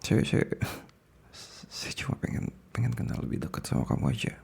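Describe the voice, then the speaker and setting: A man playing a possessive, smitten male boss in an intimate ASMR roleplay, speaking haltingly with an Indonesian accent in a breathy, gravelly voice that slips into whispers. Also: darkish tone, close to an everyday voice. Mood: hesitant confession. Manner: halting, sighing, repeats words, slips into whispers.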